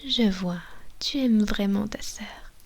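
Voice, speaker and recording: A woman voicing a manipulative female vampire mistress in an ASMR roleplay, talking in a slow, coaxing, breathy whisper into a binaural microphone. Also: mid-range voice. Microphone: binaural dummy-head mic.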